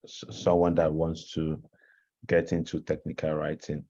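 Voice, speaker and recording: A man giving an explanatory presentation on a video call, haltingly searching for words. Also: very deep voice, monotone, warm tone, husky, muffled recording. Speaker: presenter of a learning module. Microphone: headset on a video call.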